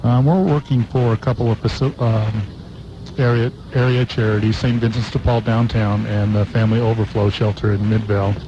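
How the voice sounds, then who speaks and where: Lowish American male interviewee, dull noisy sound. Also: steady tone, fairly quick. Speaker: fire captain being interviewed. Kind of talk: plain interview answers.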